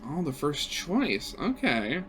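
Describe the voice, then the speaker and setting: A man's voice: fairly high pitch, huge pitch swings, slow, fairly bright tone, faint music behind. A man narrating a visual novel aloud as a gaming host, switching between storybook-like reading and offhand, sarcastic commentary.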